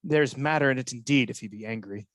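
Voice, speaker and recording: A man's voice: mid-range voice, fast talker, close to an everyday voice. A man playing a scheming soldier who feigns surprise and concern in a Shakespearean radio play. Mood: feigned concern.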